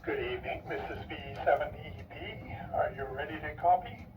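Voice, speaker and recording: A man's voice: a little high, fairly quick. A man giving a formal radio report in clipped items, as a station operator. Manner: clipped, item by item. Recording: dull distant radio link, faint static.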